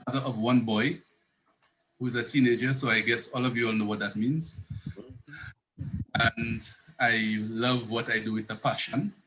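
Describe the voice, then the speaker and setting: Male psychiatrist in his thirties, bright voice, careful and self-correcting. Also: mid-range voice, steady tone, unhurried, pauses often, a little hiss or hum, rough recording. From Saint Lucia. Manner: earnest, self-correcting, rephrases as he goes. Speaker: psychiatrist guest. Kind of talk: explanatory interview talk.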